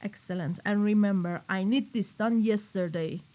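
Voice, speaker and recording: A woman's voice: medium pitch, moderate pace, mellow, natural voice. A woman playing an exacting, impatient mafia boss in an audio roleplay, giving clipped, authoritative orders. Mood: cold, commanding. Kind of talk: scripted audio roleplay acting.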